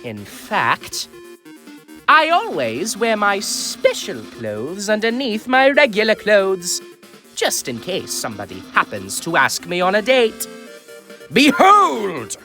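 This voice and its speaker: A bombastic, lovably naive skeleton from a video game, full of himself and grandly theatrical. The pitch leaps wildly from low murmurs to high cries, while the pace stays deliberate and slow. Music plays faintly behind the voice. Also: male voice, huge pitch swings, full character voice. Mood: boastful. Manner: grandiose, theatrical, swinging from hushed asides to loud exclamations. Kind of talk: amateur video game character dub. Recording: voice over background music.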